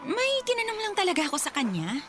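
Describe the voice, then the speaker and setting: A woman's voice: very high-pitched, huge pitch swings, fast, noisy background, full character voice. A woman playing an evasive young coworker in a radio drama, defensive and stammering, with a Filipino accent. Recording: music behind studio dialogue.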